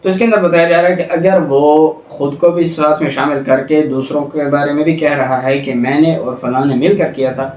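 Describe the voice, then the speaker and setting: A man's voice: a little high, fairly even intonation, moderate pace, hardly any pauses, a little mellow. Male Pakistani lecturer, explanatory and didactic, English terms mixed in; recorded away from the mic in an echoey room. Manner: didactic, alternating between Urdu explanation and English legal terms. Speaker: law lecturer. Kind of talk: explanatory lecture on legal articles. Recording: echoey room, distant microphone.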